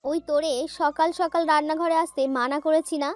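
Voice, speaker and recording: Woman as a high-pitched, sharp-tongued protective aunt. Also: steady tone, moderate pace, close to an everyday voice. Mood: fond scolding. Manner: scolding, pointed. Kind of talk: Bengali audio story acting. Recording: music bed under voice.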